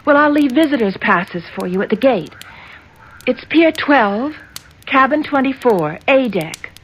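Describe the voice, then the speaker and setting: A woman's voice: high-pitched, animated, measured pace. An actress playing an anxious, ashamed young woman in a 1970s radio drama, hesitant and earnest. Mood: nervous pleading. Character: anxious, ashamed young senator's daughter, pleading. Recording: old radio broadcast, faint noise.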